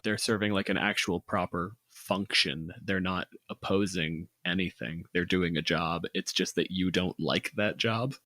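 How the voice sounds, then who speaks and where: A man with a fairly deep voice talks casually with collaborators. He is blunt and swears easily, and the sound is close and dry. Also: unhurried, few pauses, bright, forceful tone, no echo. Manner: blunt, profane. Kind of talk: casual planning chat.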